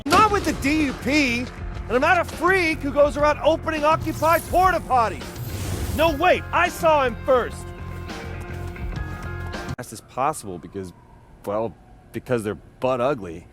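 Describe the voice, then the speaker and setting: Animated, sarcastic young man. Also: extremely high pitch, unhurried, long pauses, music in the background, exaggerated voice. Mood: indignant. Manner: sarcastic, rambling, informal. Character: brash, sarcastic young male wisecracker. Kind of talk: video game cutscene acting.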